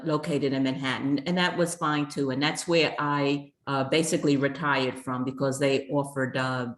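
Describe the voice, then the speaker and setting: A woman in her sixties, recently retired from banking, speaking slowly with many hesitant fillers on a panel. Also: sits low, fairly bright tone, echoey room. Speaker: panelist, credit union president. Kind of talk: online panel talk.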